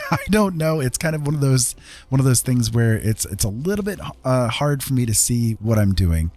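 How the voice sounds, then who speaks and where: A man's voice: mid-range voice, big pitch swings, moderate pace, darkish tone. A middle-aged man casually commentating as he plays a game live, friendly and rambling, often talking to himself. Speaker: game streamer.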